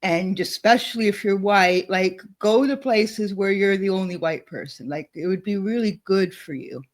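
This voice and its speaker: A woman's voice: medium pitch, normal speed. Chatty woman thinking aloud. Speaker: co-host demonstrating software. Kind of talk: casual screen-share chat.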